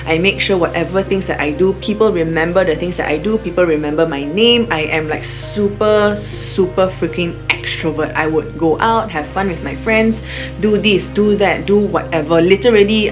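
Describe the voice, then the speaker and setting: A young woman with a Malaysian accent, talking about herself in a casual, rambling vlog with lots of fillers. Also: lowish voice. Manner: chatty, rambling with fillers and restarts. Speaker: vlogger. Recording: music playing underneath.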